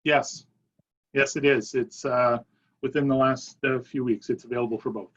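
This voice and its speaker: A man's voice: mid-range voice, fairly even intonation, moderate pace, a bit bright. Man presenting on a dull webinar line. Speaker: company presenter answering questions.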